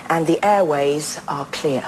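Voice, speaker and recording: A woman's voice: sits low, measured pace, slight background noise. A British woman playing an unsentimental forensic pathologist, clinical and dry, in naturalistic drama style. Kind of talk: naturalistic TV police drama acting.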